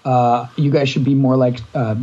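Husky-voiced man, rambling podcast chat. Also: medium pitch, level tone, normal speed, warm tone, highs cut off. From Canada. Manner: rambling, many restarts and fillers. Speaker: podcast co-host. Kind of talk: casual interview chat.

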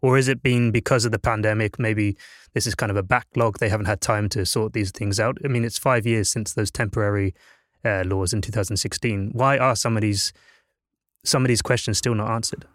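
A man's voice: lowish voice, steady tone, a little fast, a bit bright, no room sound, studio quality. A man hosting a podcast, asking questions in a loose conversational way with many fillers. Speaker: podcast host. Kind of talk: casual podcast discussion.

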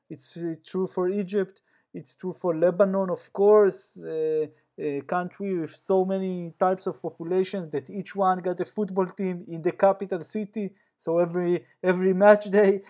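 A man's voice: high-pitched, warm tone, dull audio. A man with an Israeli accent, a sports journalist answering interview questions passionately, with frequent restarts and fillers.

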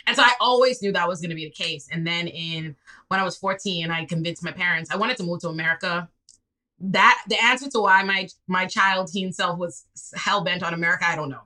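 A young woman from the Bahamas, a public defender, chatting casually on an interview show and acting out quotes with lively laughter. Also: lowish voice, brisk, poor audio. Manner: animated storyteller, laughs often, acts out quotes. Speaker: public defender and political commentator.

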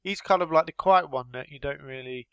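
A man with a blocked, runny nose, co-hosting a casual racing podcast, playful and quick to laugh. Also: a little high, fairly bright tone, clean tone, no room sound, dull audio. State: congested nose. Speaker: podcast co-host. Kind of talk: casual chat about motor racing.

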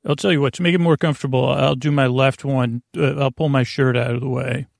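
A man hosting a bedtime podcast with a creaky, gravelly voice, meandering and halting in a goofy, self-deprecating monologue that keeps going off topic. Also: medium pitch, fairly even intonation, no echo, clean studio sound. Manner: meandering, halting, goes off topic mid-sentence. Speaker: podcast host.

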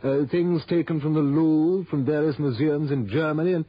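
A man's voice: a little high, steady tone, normal speed, breathy edge, dry recording. An actor playing a fussy, genteel, apologetic male gallery owner in a 1960s radio drama, with prim, formal, hesitant phrasing. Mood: hesitant, speculating. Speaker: supporting actor. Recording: dull old radio broadcast recording.

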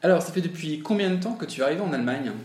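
A man's voice: a little high, expressive, rapid-fire, darkish tone. A man hosting a podcast interview, asking long rambling questions with restarts. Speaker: podcast host. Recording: roomy echo.

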